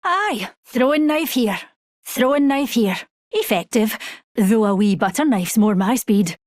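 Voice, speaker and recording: A woman's voice: on the high side, animated, measured pace, darkish tone, dry recording, studio quality. A woman voicing a folksy, plucky fighter in a video game. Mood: spirited. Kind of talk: video game character callouts.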